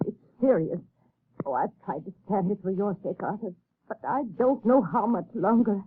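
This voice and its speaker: An actress in a 1930s radio drama playing a frail, frightened wife who pleads in a panic. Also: female voice, mid-range voice, lively intonation, normal speed, slight background noise, close to an everyday voice. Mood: pleading. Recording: tinny old radio broadcast.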